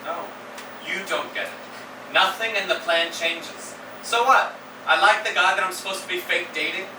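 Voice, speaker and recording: A man's voice: high-pitched, moderate pace, natural voice. An actor playing a sincere, conflicted, lovestruck teenage boy in a live staged reading, earnest and defensive. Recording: echoey, distant, noisy room.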